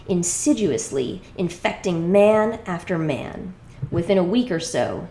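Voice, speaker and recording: A woman with a fairly low voice delivers a scripted exposé as dramatic narration. Her delivery is deliberate and emphatic, with a rhetorical edge. The recording carries clear room echo around her words. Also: darkish tone, a little hiss or hum. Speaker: reader of a memoir exposé. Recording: echoey room sound.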